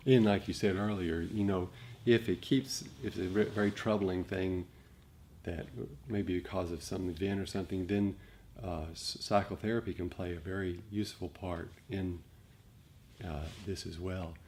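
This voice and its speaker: Low-voiced, mellow man teaching meditation. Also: fairly even intonation. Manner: halting, reflective, rephrases and repeats. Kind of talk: informal dharma talk to a group. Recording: noticeable background noise.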